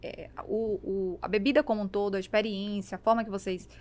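Brazilian woman with a mid-pitched voice. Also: fairly even intonation, fairly quick, darkish tone. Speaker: podcast panelist. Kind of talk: casual chat. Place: small foam-treated podcast studio. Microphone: boom-arm studio microphone, close.

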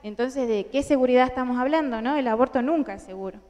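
A woman's voice: high-pitched, quick pace, a little mellow. Young Argentine woman speaking in a hall. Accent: Argentine Spanish. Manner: earnest, many fillers and restarts. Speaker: conference speaker. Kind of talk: talk to a large audience. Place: large indoor hall with audience. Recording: hall reverb.

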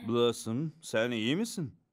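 Animated, doting cartoon professor dad. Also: male voice, medium pitch, slow, a little hiss or hum. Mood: oblivious. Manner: theatrical, genial. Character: doting, oblivious scientist father. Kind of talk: Turkish cartoon dub. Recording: music behind the voice.

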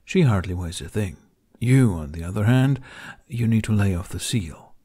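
A man's voice: fairly low pitch, animated, normal speed, mellow, breathy edge. A man voicing an unbothered, teasing dragon companion in a storybook reading, with a dry manner.

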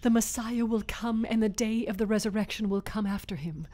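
A man's voice: sits high, fairly quick, voice pushed up, close to an everyday voice. A man voicing a grieving woman who accuses and pleads through tears in a narrated Bible story. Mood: pleading. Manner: shouting then pleading, tearful.

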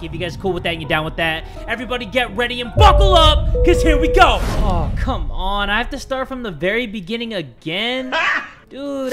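A young man in a home room on a headset, hosting a horror game playthrough, swinging from loud yelling to hushed talk. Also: high-pitched. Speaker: gaming video host. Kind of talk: energetic horror game commentary.

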